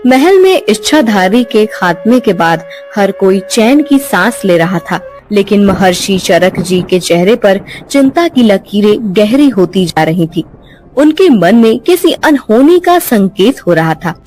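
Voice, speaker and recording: A woman giving scripted narration for a dubbed cartoon story, in a steady storytelling manner. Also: medium pitch, a little slow, faint music behind, low-quality recording.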